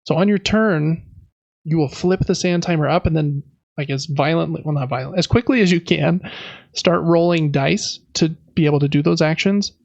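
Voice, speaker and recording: A man's voice: on the high side, normal speed, vocal fry, darkish tone, no room sound, muffled recording. A man co-hosting a casual podcast, describing board games in an informal, hesitant, self-deprecating way. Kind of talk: casual show-and-tell chat about board games.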